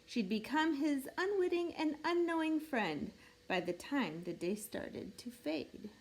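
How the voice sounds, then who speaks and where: A woman reading a rhyming picture book aloud in an expressive, measured storytelling way meant for children. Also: sits high, slight background noise, poor audio. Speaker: storybook reader.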